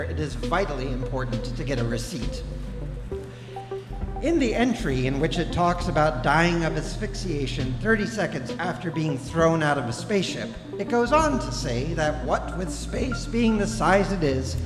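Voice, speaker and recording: A man playing a wry talking guidebook that narrates a live comedy play with emphatic storytelling. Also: on the high side. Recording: echoey hall, music behind.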